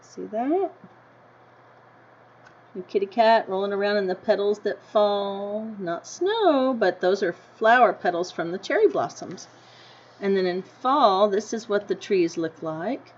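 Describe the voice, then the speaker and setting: An American woman teacher reading a picture book aloud to children, deliberately, with simple explanatory asides and questions to her listeners. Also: medium pitch, animated. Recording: dull lo-fi sound with noticeable background noise.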